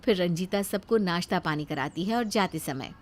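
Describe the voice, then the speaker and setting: A woman's voice: on the low side, animated, normal speed, a little hiss or hum. A woman reading a scripted family story as its narrator, in a storytelling style.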